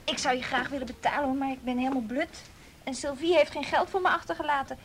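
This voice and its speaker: A woman's voice: fairly even intonation, quick pace, close to an everyday voice. An actress playing a broke, cheeky and wistful young woman in a naturalistic radio drama. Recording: noisy radio play recording with rumble.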